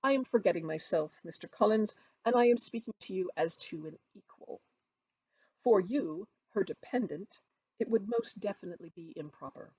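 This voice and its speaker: A woman voicing a proud, reserved, disdainful gentleman of high rank in an audiobook, in a cool, dry, formal manner. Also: lively intonation, normal speed, breathy edge, no room sound, muffled recording. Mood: coolly polite. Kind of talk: audiobook character voice.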